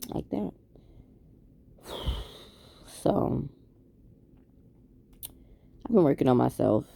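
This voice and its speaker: Gravelly New woman, hesitant. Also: very deep voice, dark tone, no room sound. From Queens, New York. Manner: hesitant and reflective, many ums and restarts. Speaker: vlogger. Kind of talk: candid late-night confessional talk to camera.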